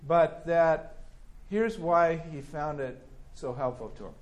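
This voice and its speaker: A man teaching a Bible class on a pastor's biography, reading passages aloud and commenting in a lively, conversational way with many restarts. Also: a little high, takes it slowly, lo-fi sound. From California. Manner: conversational, animated, acts out quoted voices, many restarts and fillers.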